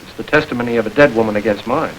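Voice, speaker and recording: An actor playing a smooth, mocking gang boss who stays unruffled under questioning, in a 1940s film. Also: male voice, fairly low pitch, racing, fairly bright tone, slight background noise, rough recording. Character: suave smug male gang boss, adult.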